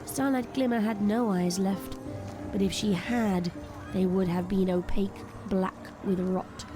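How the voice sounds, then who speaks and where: Woman narrating a dark drama over music. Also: medium pitch, fairly even intonation, a little slow.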